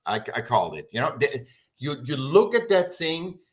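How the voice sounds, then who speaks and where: A man's voice: fairly high pitch, sing-song, moderate pace. A middle-aged man speaking as a guest artist in an interview, emphatic and rambling, often restarting his sentences. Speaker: guest artist and creativity educator. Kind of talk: casual interview talk.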